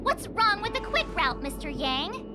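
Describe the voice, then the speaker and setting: A young woman voicing a playful, eager detective heroine in a game dub, bouncy and animated. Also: very high voice, unhurried, exaggerated voice. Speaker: player character. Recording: game dub over music.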